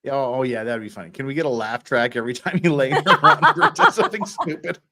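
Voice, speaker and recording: A man's voice: medium pitch, huge pitch swings, quick pace, pushed and bright. A man in his fifties hosting a livestream, chatting casually and reading out viewer comments in a rambling, jokey, giggly way. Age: 50s. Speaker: livestream host.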